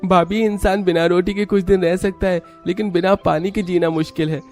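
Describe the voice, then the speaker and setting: A man puts on a simple, earnest village brother for a cartoon tale. The voice is pushed high and emphatic, with faint music behind. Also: steady tone, brisk, darkish tone. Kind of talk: Hindi cartoon story acting.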